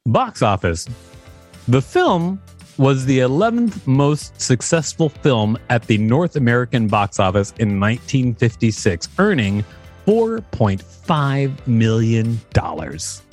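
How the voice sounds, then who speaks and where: A male podcast co-host with a lively, swooping voice who reads aloud and breaks into playful asides. He laughs easily and puts on quick comic voices. Faint music plays underneath. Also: medium pitch. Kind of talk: reading aloud and casual commentary.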